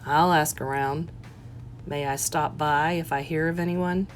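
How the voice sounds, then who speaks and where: A woman voicing a guarded, gruff but courteous male police detective in an audiobook, measured and terse. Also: low voice, steady tone, soft background music, pitched below the performer's own voice. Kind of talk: audiobook character voice.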